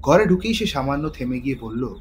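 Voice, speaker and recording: A man's voice: medium pitch, big pitch swings, brisk. Male thriller narrator over music. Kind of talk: scripted dramatic thriller narration.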